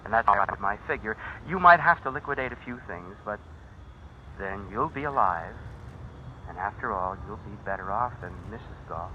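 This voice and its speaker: An actor in an old radio drama playing a smug, menacing blackmailer, a writer who talks with insinuating mock politeness. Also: male voice, fairly low pitch, lively intonation, normal speed, lightly acted. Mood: calm menace. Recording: dull, noisy old radio recording.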